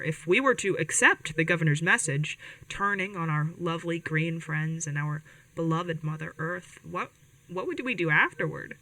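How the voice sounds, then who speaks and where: A woman's voice: low-pitched, bright, forceful tone, no room sound, distant mic, rough recording. A woman playing an earnest, protective young rebel radio host in an audio drama, speaking hesitantly and directly to listeners. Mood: earnest, persuasive. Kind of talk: podcast audio drama, conversational radio monologue.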